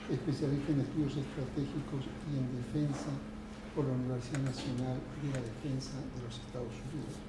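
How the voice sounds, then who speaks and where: A man moderating an academic panel, formally introducing the next speaker by reading out his biography. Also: medium pitch. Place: conference hall. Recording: distant, echoey hall with noise.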